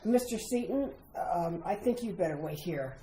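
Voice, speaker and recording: A woman's voice: fairly low pitch, expressive, moderate pace, mellow, close to an everyday voice. A woman playing a guarded, hesitant doctor bringing grim news in a retro radio mystery. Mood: grave, hesitant. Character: uneasy, reluctant doctor bearing grim news. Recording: roomy sound with faint noise.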